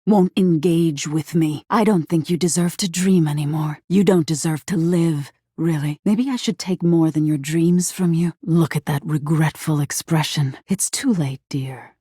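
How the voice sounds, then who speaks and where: Husky, low, eerie nightmare spirit. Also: female voice, measured pace, hardly any pauses, dark tone, no echo, clean studio sound. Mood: bitter, menacing. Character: female living nightmare spirit, eerie, seductive, wounded, menacing. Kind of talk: video game character acting.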